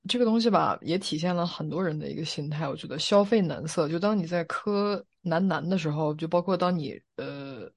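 A woman's voice: deep voice, fairly quick, hardly any pauses, husky. A woman co-hosting a podcast, giving her opinions in casual chat and explaining them reflectively, point by point. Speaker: podcast co-host.

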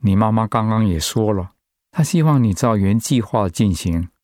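An actor as a middle-aged father in a radio drama, with a lowish voice recorded dry. He is gentle, devout and steadily reassuring. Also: animated, moderate pace, dry recording, close to an everyday voice. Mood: steady, reassuring. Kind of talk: Mandarin radio drama acting.

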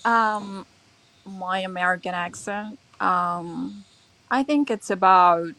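A woman's voice: mid-range voice, takes it slowly, bright, forceful tone, no echo, poor audio. A woman from China chatting casually in English on a livestream, easygoing and teasing. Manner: laughs often, easygoing and teasing. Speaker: guest. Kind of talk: casual chat.

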